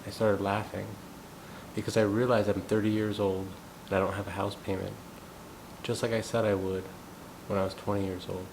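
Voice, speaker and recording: Brief: thirties male, from the US, musing monologue, flat delivery, rumbling noise in the background. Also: vocal fry, poor audio. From United States. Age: 30s. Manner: thoughtful, unhurried, musing aloud. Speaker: gong practitioner sharing thoughts. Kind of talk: reflective personal monologue.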